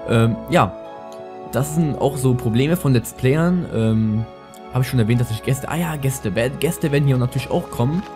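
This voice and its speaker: A man's voice: mid-range voice, normal speed. A young man with a lisp giving casual, rambling, slangy gameplay commentary as a let's player. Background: music.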